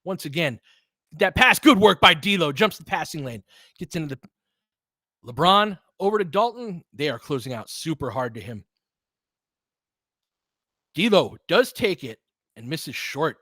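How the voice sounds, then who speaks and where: A man's voice: fairly high pitch, big pitch swings, measured pace, long pauses, bright, forceful tone, no room sound, clean studio sound. A man doing casual, conversational live basketball commentary as a play-by-play host, breaking down the action like an analytical fan and chatting with listeners. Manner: conversational, analytical fan talk with mild slang.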